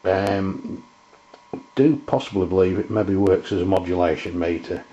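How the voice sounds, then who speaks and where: A middle-aged man giving an informal, halting, unscripted product review in a room at home. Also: sits low, fairly even intonation, warm tone. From England. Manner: hesitant, halting, unscripted delivery. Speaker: presenter, radio hobbyist. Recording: muffled, roomy camera sound.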